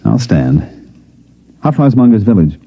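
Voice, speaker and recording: Wry hard-boiled radio detective, dull vintage sound. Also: male voice, on the low side, big pitch swings, normal speed, creaky, breathy edge, heavily acted. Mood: sarcastic. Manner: wry, sardonic. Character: wisecracking, hard-boiled male special agent investigating counterfeiting. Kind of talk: 1950s radio drama acting with first-person narration.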